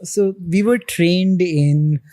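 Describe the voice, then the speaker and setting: A man in his thirties with an Indian accent, a podcast guest explaining things in a measured way with frequent pauses. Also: high-pitched, dark tone, no room sound, studio quality. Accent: Indian English. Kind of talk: podcast interview.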